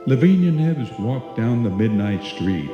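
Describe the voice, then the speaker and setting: A man's voice: mid-range voice, very animated, measured pace, lo-fi sound. A man playing the grave narrator of a suspense tale in live old-time radio style. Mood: ominous. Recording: echoey hall, music behind.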